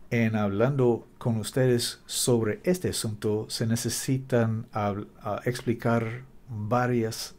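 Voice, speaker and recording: English-speaking guy preaching in so-so spanish, mellow warm voice, keeps fixing his words. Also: mid-range voice. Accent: English-speaking learner of Spanish. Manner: halting, self-correcting, apologetic about mistakes. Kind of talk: bible teaching to camera. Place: Costa Rica.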